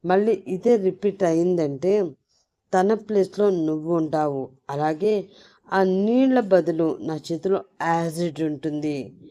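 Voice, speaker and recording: A woman's voice: fairly low pitch, takes it slowly, mellow. A woman voicing a furious arrogant male CEO. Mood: menacing. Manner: cold, threatening orders.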